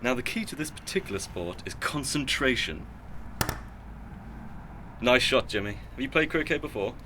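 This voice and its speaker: A man acting a well-off, boastful, stern middle-aged uncle in a radio drama, with a pompous, measured way of talking. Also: mid-range voice, lively intonation, noisy background, natural voice. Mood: boastful, jovial.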